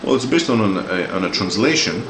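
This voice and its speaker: A deep, low-pitched man in his forties with a Greek-Australian accent, sounding off in a heated, profane rant. He stumbles and repeats words as he builds his case. His voice rings in an echoey room with music playing behind. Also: expressive, fairly quick. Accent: Greek-Australian English. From Greece, raised in Australia. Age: 40s. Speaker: commentator. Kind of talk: opinion rant.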